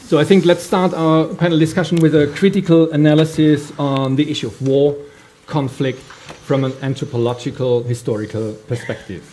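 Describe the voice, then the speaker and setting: Male panel chair, warm relaxed tone, formal introductions delivered haltingly and deliberately. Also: on the high side, a little hiss or hum. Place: public panel event in a library.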